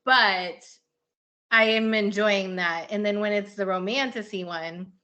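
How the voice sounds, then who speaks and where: A woman's voice: mid-range voice, measured pace, sharp tone, dull audio. A woman podcast host chatting casually about her own writing, informal and rambling as she searches for words. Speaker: podcast host and fiction writer. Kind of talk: casual chat.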